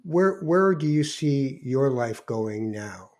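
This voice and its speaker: A middle-aged man hosting a casual, reflective conversation, expressive and philosophical in how he talks. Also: medium pitch, takes it slowly. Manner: expressive, philosophical, rhetorical questions. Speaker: podcast interviewer, spiritual counselor.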